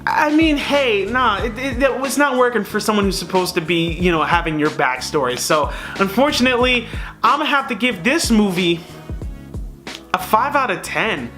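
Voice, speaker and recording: A man's voice: high voice, animated, moderate pace, bright, forceful tone, faint music behind. A man hosting a casual, opinionated review, talking straight to viewers in a conversational, emphatic way. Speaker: film reviewer and host.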